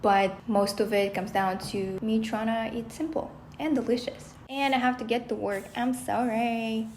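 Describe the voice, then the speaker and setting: A woman's voice: on the high side, fairly even intonation, moderate pace, darkish tone, a little hiss or hum. A young woman from Russia, a native Russian speaker, chatting casually to camera about food, often saying "like" and "you know". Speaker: vlogger, former chef.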